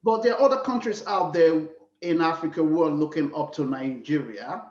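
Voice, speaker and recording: A man's voice: on the high side, moderate pace, darkish tone, echoey room, distant mic, highs cut off. A man hosting a panel talk show, moderating loosely with filler words.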